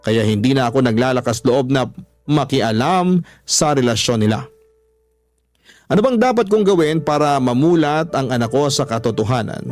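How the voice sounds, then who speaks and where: A man's voice: mid-range voice, normal speed, a little mellow. A man reading listeners' letters as a dramatic first-person story, as a radio host. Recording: music under the voice.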